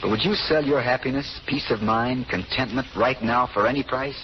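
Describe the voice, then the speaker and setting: An actor playing a gentle, modest man in love in an old radio drama, tender and reflective. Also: mid-range voice, moderate pace, close to an everyday voice. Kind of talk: 1930s-40s radio drama acting. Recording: noisy dull old radio recording.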